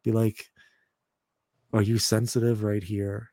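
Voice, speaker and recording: Halting man in his thirties, dry sound. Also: level tone, dark tone, no echo. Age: 30s. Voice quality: deep voice. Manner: hesitant, halting, stammers and repeats words. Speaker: podcast host. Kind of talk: casual chat.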